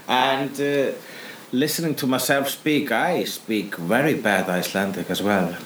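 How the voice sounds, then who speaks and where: Brief: male comic co-host, mid pitch, stop-start delivery, dry wit, faint background noise. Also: fairly bright tone. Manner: halting, many fillers and restarts, dry humor. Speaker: podcast co-host and stand-up comedian. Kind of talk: casual comedic chat.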